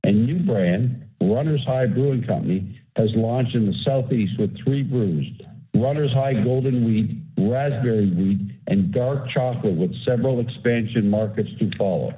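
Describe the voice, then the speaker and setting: A man's voice: mid-range voice, normal speed. Man on a phone-like conference call line. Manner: formal, reading from prepared remarks. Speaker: company executive. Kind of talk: scripted earnings call presentation.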